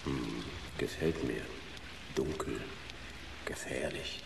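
A man's voice: extremely low pitch, slow pace, creaky, heavily acted. An actor playing a wry, hardboiled male private detective in radio play dialogue. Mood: cool. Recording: roomy, echoing sound with noise.

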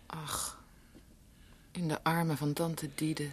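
A woman's voice: low-pitched, flat delivery, takes it slowly, breathy edge, heavily acted. An actress playing a tender, devoted and anxious young woman in a Dutch radio drama. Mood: shocked, hushed.

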